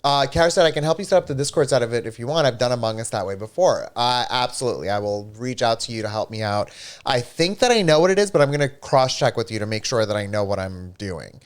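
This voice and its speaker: Chatty guy cohosting a stream, smooth clear voice, says like a lot. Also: medium pitch, animated, fast talker. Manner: chatty, filler-heavy, upbeat. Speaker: livestream co-host. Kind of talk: casual livestream chat.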